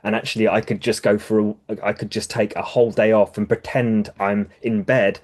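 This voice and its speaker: Male podcast guest, somewhat low voice, thoughtful explainer, frequent self-corrections. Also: level tone, a little fast, darkish tone. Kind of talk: casual podcast conversation.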